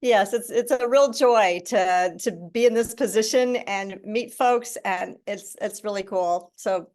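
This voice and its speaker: A woman joining by video call, bubbly and excited. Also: mid-range voice, a little slow. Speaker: orientation co-leader on video call.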